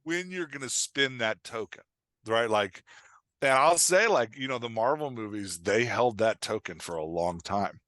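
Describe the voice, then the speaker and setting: A man talking casually as a podcast guest and writing coach, explaining in an informal, halting way with emphatic check-in questions. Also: medium pitch, a bit bright, no room sound.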